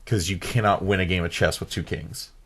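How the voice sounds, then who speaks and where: A man's voice: low voice, steady tone, brisk, fairly bright tone, slightly rough. A man co-hosting a podcast, talking casually and explaining details to his friends. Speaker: podcast co-host. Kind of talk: casual chat.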